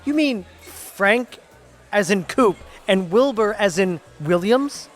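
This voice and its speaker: Slow-talking guy an uneasy, hesitant office worker in a radio play, bit of music behind. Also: sits high, expressive. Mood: wary. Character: uneasy, hesitant male law-firm employee named Brian. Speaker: actor in an audio drama. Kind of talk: audio theatre acting.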